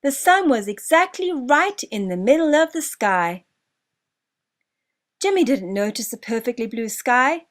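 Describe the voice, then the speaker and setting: A woman reads a children's story in a high voice at a slow, measured pace. Her intonation swings up and down expressively, and she places deliberate emphasis on key words. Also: sing-song. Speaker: author narrating own children's story. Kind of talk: scripted children's story narration.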